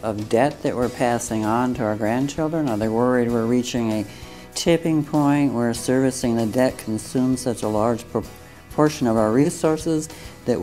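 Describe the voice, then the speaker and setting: A man hosting a television interview, asking measured questions. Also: mid-range voice, darkish tone. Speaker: host.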